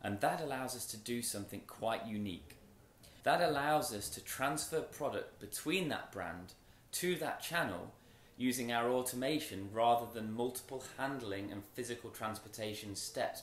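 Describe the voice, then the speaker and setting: A man giving a rehearsed product launch presentation in measured, emphatic short phrases with deliberate pauses, as a company presenter. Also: mid-range voice, fairly bright tone, a little hiss or hum. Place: stage in a large event tent with audience. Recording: roomy echo from event PA.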